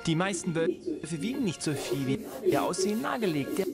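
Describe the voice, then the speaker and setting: Halting scientist with swooping intonation. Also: male voice, on the high side, big pitch swings. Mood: studious. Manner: halting, deliberating, searching for words. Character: hesitant, meticulous male lab scientist examining specimens. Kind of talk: German-dubbed video game dialogue. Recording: loud music behind the voice. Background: loud game music.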